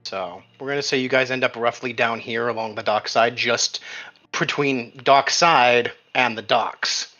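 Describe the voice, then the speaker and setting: A man's voice: mid-range voice, unhurried, sharp tone, lo-fi sound, faint music behind. A man improvising narration as game master of a tabletop game, thinking aloud and rephrasing as he describes.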